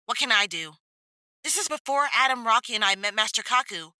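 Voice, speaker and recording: A woman's voice: on the high side, animated, brisk, bright, forceful tone, no room sound. A young woman playing a frank, wry teenage girl hero in a conversational audio drama style. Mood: wry, concerned.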